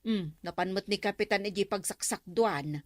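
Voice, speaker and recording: A woman's voice: mid-range voice, normal speed, dry recording, clean studio sound, close to an everyday voice. A woman with an Ilocano accent playing a grumbling, bossy village woman in a comedy drama.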